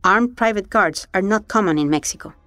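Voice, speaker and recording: A woman hosting an investigative documentary, giving measured, serious scripted narration. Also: medium pitch, a bit bright, no echo, faint music behind. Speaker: documentary podcast host and narrator.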